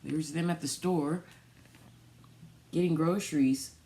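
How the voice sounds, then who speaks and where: Slow, low-pitched woman reading a storybook. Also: steady tone, mellow. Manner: pausing, pointing out pictures to young listeners. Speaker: storytime reader for a children's theatre. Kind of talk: read-aloud picture book storytelling with asides.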